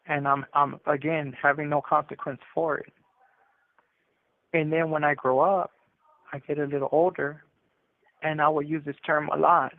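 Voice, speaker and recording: A man's voice: a little high, creaky, no echo. A man on a prison phone call, reflecting on his life in an earnest, conversational storytelling way. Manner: earnest, conversational, often tagging with "you know" and "right". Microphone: telephone handset. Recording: tinny narrow phone line.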